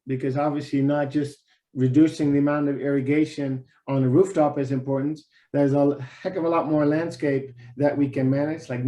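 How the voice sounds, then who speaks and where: A man's voice: fairly high pitch, dark tone. A man in his thirties with a Dutch accent, presenting casually on a video call, talkative and often repeating words. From Netherlands. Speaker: webinar presenter. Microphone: video call webcam. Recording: echoey room on a video call.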